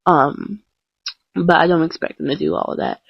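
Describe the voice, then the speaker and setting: Husky young woman, casual and chatty. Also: deep voice, moderate pace, dry recording. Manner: chatty, self-deprecating, many ums and restarts. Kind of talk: casual podcast-style talk. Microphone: close mic, blank screen. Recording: dull lo-fi home recording.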